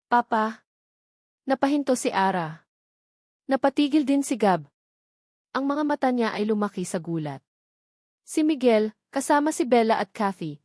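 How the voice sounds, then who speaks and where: A woman narrates a scripted love story as a dramatic storyteller. Her delivery is emotive and theatrical, pitched in a middle female range. The sound is dry, with hardly any room on it. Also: darkish tone, no echo.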